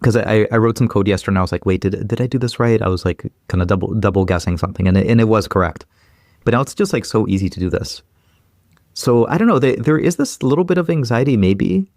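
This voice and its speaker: Man, low voice, quick speech, full of "like" and restarts, dry sound. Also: darkish tone, dry recording. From Ukraine. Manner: quick, many fillers and repeated restarts. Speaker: guest. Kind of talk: casual interview chat.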